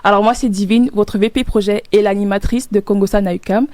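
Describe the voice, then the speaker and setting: A woman's voice: mid-range voice, fairly even intonation, fast talker, darkish tone, no echo. A young woman from Cameroon hosting a casual podcast panel, upbeat and laughing often as she hunts for words. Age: young adult. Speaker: podcast host.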